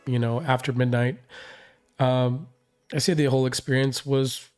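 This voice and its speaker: Hoarse male vlogger, mid pitch, rambling casual recap, faint music underneath. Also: steady tone, moderate pace, darkish tone. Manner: rambling, many fillers and restarts. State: hoarse, recovering voice. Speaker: reaction channel host.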